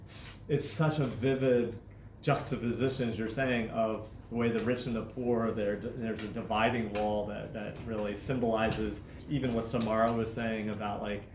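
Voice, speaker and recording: A man's voice: on the low side, fairly even intonation, normal speed, a little mellow, a little hiss or hum. Reflective male teacher in a roomy classroom. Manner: reflective, repeats words while thinking. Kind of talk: classroom discussion.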